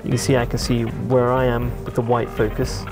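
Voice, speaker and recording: A man engineer giving a steady technical demonstration of a virtual cockpit. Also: mid-range voice, fairly quick, gravelly. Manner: flat, steady technical explaining. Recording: music bed underneath.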